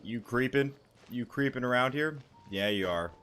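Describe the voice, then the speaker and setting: Bright-voiced man casually commenting on gameplay. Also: mid-range voice, expressive, normal speed, soft background music. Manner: slangy, offhand, muttered asides. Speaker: gaming streamer.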